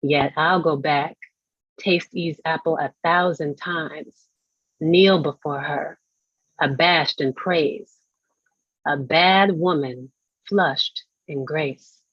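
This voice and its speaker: A woman reading poetry with measured, lingering phrasing. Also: low-pitched, breathy edge, no echo. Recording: dry but dull, lo-fi audio.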